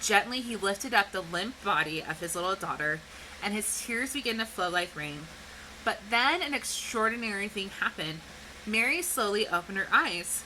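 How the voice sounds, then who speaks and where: A woman speaking like a teacher to young children, explaining things clearly and posing questions in an educational read-aloud. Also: medium pitch, expressive, moderate pace, pushed and bright, slight background noise, poor audio. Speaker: teacher reading a picture book to children.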